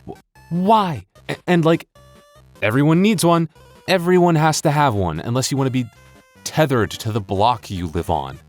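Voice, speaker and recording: A man's voice: mid-range voice, animated, normal speed, faint music behind. An American man chatting casually to his listeners as an audio creator, rambling and joking with exaggerated comic exasperation. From United States. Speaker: audio roleplay creator and voice actor.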